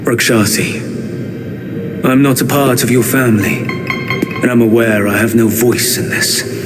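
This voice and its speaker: A man playing a grave, foreboding royal advisor in a video game, measured and solemn. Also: medium pitch, wildly swooping pitch. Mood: solemn warning. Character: grave, cautionary male advisor to a queen. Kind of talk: video game cutscene acting. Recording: long hall reverb with music behind.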